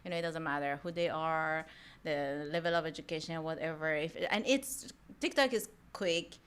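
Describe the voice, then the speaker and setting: Lowish-voiced woman with thick Iranian accent. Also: normal speed, fairly bright tone, clear, resonant tone. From Iran. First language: Persian. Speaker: podcast guest, mortgage lender. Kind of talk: casual interview chat.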